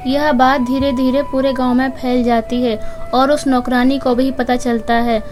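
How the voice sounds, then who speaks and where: A woman narrates a children's moral tale in a high voice that stays very level. She reads from a script as a storyteller, with music playing underneath. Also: little pitch movement, moderate pace, darkish tone. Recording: music behind the voice. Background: background music.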